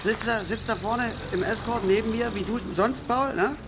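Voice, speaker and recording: A man's voice: high voice, moderate pace, background noise. An actor playing a talkative, boastful working-class father down on his luck, telling rambling colloquial stories in a radio play. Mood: eager. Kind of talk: naturalistic German radio play acting.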